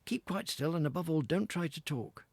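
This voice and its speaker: A man voicing a kindly, bluff gentleman motorist in a cheery, raised voice. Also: on the high side, expressive, normal speed, creaky, no echo, voice pushed up.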